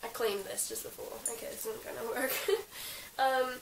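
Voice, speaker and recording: Croaky giggly Australian young woman. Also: a little high, normal speed. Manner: chatty, giggly, lots of ums and likes. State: croaky from being sick. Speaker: equestrian vlogger. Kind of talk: casual show-and-tell vlog. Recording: roomy, slightly distant with hiss.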